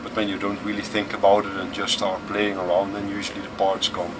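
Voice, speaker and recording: A man in his thirties whose first language is Dutch, answering interview questions aboard a tour bus in a relaxed, thoughtful way with many fillers. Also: lowish voice, fairly quick. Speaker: musician guest. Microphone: camera close in front. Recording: distant mic with vehicle noise. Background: air conditioning and vehicle hum.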